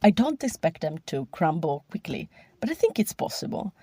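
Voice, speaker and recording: A woman's voice: on the low side, very animated, moderate pace, mellow, husky, no room sound. A woman recording a personal voice message to give her opinion as a podcast listener.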